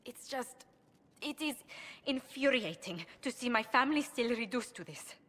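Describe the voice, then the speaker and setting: A woman's voice: fairly high pitch, animated, moderate pace, fairly bright tone, big-room sound. An actress playing a proud, worried young noblewoman diplomat in a video game, speaking formally, precisely and earnestly. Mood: frustrated, worried. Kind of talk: video game cutscene acting.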